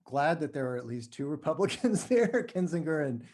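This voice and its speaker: A middle-aged man from New Mexico being interviewed, talking casually and haltingly, often correcting himself. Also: a little high, sing-song, a little mellow. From New Mexico, United States. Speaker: podcast guest, writer.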